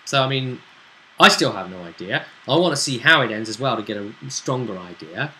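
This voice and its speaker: A man's voice: medium pitch, expressive, fairly quick, sharp tone, low-quality recording. A man hosting as game master, chatting casually as he recaps a tabletop roleplay campaign. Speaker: game master and show host.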